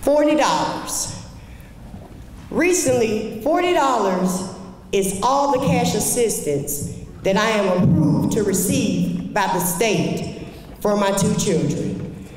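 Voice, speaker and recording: A woman speaking at a rally, giving a plain, deliberate personal testimony. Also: mid-range voice, animated, darkish tone, slight background noise. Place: large hall, on a stage. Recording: echoey hall, distant.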